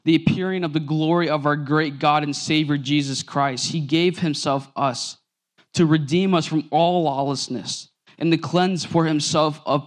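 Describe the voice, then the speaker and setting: Young guy in his 20s preaching, earnest and loose, slightly high voice. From Nebraska. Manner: earnest, informal, with fillers and self-corrections. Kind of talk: sermon.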